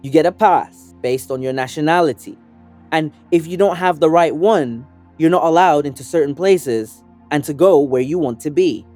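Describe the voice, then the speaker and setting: A young adult man from Sudan, an organizer and activist, reading a scripted first-person essay in an earnest, measured, persuasive way. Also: a little high, darkish tone, soft background music.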